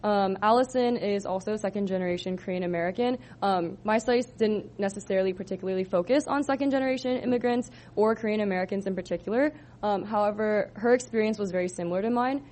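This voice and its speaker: A woman's voice: medium pitch, fast, hardly any pauses, a little mellow. Young woman from socal giving a thesis talk, lots of um and like, casual. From Southern California. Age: 20s. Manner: casual, many ums and likes. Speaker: student presenter. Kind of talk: thesis presentation to a live audience.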